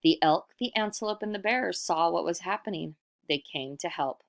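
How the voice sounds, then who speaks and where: A woman reading a storybook aloud in expressive narration, animated and putting questions to the listener. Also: fairly low pitch, normal speed, gravelly. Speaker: storybook reader.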